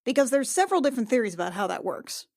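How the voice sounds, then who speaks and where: A woman playing an awkward, guarded but protective warrior in a fantasy game, speaking in a halting, wry, casual way. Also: a little high, big pitch swings, a little mellow, no echo, clean studio sound. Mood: curious, wry.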